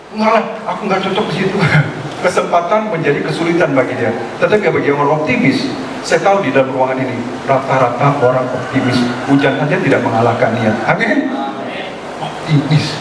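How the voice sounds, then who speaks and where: A male pastor preaching a sermon in a lively way, asking the congregation questions and acting out quoted voices. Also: high-pitched. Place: church hall. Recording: echoey hall, distant mic, noise.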